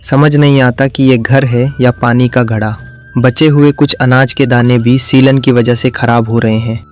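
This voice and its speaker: Worried village husband in a cartoon, a man voices him, flat and grumbling. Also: medium pitch, a little slow, faint music behind, close to an everyday voice.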